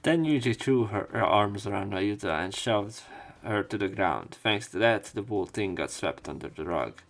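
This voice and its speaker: A man's voice: on the low side, animated, moderate pace, creaky, soft background music. A man reading a visual novel aloud as a let's play commentator, with casual, offhand remarks.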